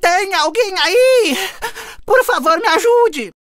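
A woman voicing a carefree, lazy grasshopper musician in a cartoon fable, proud but humbled, with an expressive, dramatic delivery. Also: extremely high pitch, slow pace, heavily acted. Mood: pleading. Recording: music behind the voice.

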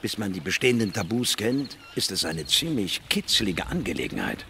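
An actor playing a confident, worldly biologist in a science fiction radio drama, dry and explanatory. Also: male voice, deep voice, sing-song, normal speed, gravelly, pushed and bright, slight background noise, exaggerated voice. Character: confident, worldly male biologist and space investigator. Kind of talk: radio drama dialogue and first-person narration. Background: music.

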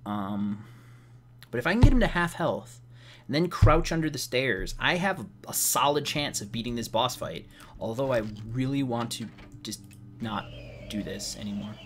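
A slightly hoarse man giving casual, rambling gameplay commentary as a let's play host, sounding wry and exasperated. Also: medium pitch, lively intonation, normal speed, fairly bright tone. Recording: game music behind the voice. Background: video game music.

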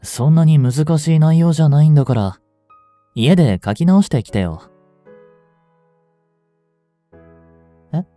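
Man acting as a mellow, soft-spoken brooding teacher. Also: a little high, lively intonation, moderate pace, dry recording, soft background music, lightly acted. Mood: gentle, chiding. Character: gentle male chemistry teacher, brooding, turning possessive. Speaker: voice actor in a fan voice drama. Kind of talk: Japanese audio drama monologue, intimate acting.

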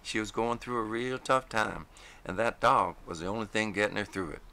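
Folksy old-timer with a slow rural drawl, deep and gravelly, put on by a male narrator. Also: pushed and bright. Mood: wistful. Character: folksy older man, grieving dog lover.

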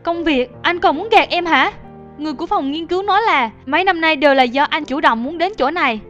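High-pitched, angry, hurt wife. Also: female voice, brisk, fairly bright tone, highs cut off, faint music behind. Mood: angry, accusing. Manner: accusing, demanding. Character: angry, hurt wife confronting her absent husband. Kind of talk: dubbed animation acting.

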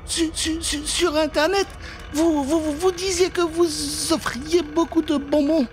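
A man voicing a terrified little girl in a children's scary tale, stammering and pleading in a thin, high voice. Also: steady tone, a little slow, soft background music. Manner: stammering, fearful. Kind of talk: theatrical children's horror storytelling.

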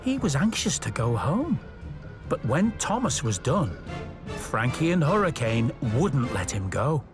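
Guy reading a kids story, slow and super expressive, music behind. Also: medium pitch. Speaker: storybook narrator. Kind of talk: scripted children's story narration. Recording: music under the narration.

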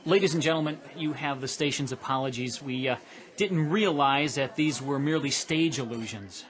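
A man's voice: mid-range voice, normal speed, a bit bright, a faint crowd, close to an everyday voice. An actor playing an earnest, easily amazed male reporter giving dramatic live commentary in a radio drama. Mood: apologetic. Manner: announcerly, dramatic.

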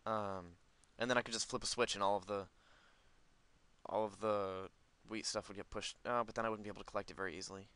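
Low-voiced man rambling through gameplay, crisp sound. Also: fairly even intonation, fast talker, fairly bright tone. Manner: rambling, thinking aloud. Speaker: gaming video host. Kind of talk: casual, unscripted gameplay commentary.

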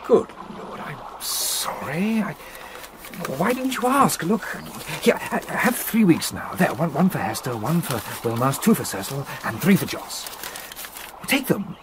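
A man's voice: a little high, sing-song, measured pace. An actor with a British accent playing a tired, irritable but secretly generous man, curt and well-spoken, in a radio drama. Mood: exasperated. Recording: noisy radio drama with background sounds.

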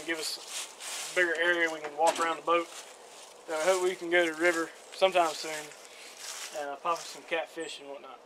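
A man's voice: a little high, far from the mic, background noise. A young man hosting a casual outdoor how-to vlog, chatty and upbeat with frequent "uh" fillers, recorded in a yard and an open carport on a camera mic. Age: young adult. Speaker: outdoor channel host.

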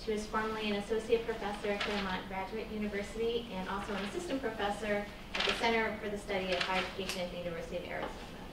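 A woman's voice: medium pitch, steady tone. Woman introducing a speaker, very echoey. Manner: reads a measured, warm introduction. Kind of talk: formal introduction. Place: university classroom. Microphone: far room microphone. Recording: very echoey classroom from far off, noisy.

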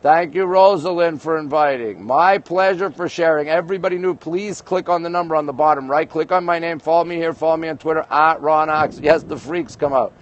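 A man from Montreal, Canada hosting a casual livestream from a high-rise balcony at night, greeting viewers by place and reading comments aloud. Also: brisk, few pauses, lo-fi sound. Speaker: livestream host. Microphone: phone camera held by speaker.

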